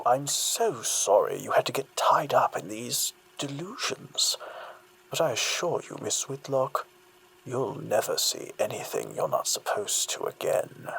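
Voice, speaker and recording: A woman's voice: a bass voice, huge pitch swings, a little slow, vocal fry, fairly bright tone, breathy edge, heavily acted, poor audio. A woman playing an outwardly soothing, secretly sinister therapist in an audio drama, with a probing, falsely reassuring manner. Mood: coldly menacing.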